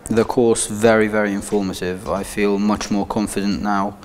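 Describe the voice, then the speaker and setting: Hesitant young man, low voice. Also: flat delivery, moderate pace, darkish tone, slight background noise. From Egypt. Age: young adult. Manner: hesitant, repeats words. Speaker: student. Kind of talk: testimonial interview. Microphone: lapel.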